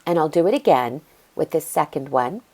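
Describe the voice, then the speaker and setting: A woman's voice: low-pitched, expressive, moderate pace, dark tone. A middle-aged woman, a bit congested, chatting easily through a step-by-step craft tutorial as she works. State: congested from allergies. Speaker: craft video host.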